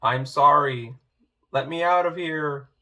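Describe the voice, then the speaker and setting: Man acting a gruff, slow big bad wolf. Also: on the high side, bright, forceful tone, heavily acted. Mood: pleading. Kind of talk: children's storybook read-aloud.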